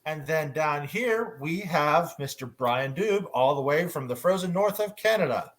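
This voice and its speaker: Halting male panel moderator. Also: a little high, animated. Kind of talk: casual panel discussion.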